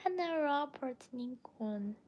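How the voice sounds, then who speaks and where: Slow-paced young Chinese woman chatting, higher voice. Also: expressive, muffled recording. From Chongqing, China. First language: Mandarin Chinese. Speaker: livestream host, language teacher. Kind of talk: casual livestream chat, replying to viewers.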